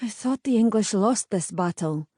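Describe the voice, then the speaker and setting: A woman's voice: medium pitch, animated, moderate pace, dark tone, husky, no room sound, studio quality. A woman playing a firm young Scottish heroine. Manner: firm, commanding. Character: bold young Scottish woman, steady, sharp-tongued. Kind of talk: audiobook character voice.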